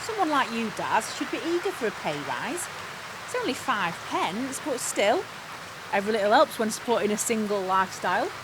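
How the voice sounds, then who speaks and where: Bossy, high-voiced, animated manager. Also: female voice, normal speed. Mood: patronising, confiding. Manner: officious, patronising, pointed. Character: bossy, self-important female workplace manager who gossips. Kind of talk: audio comedy drama acting. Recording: clear rain and outdoor ambience noise.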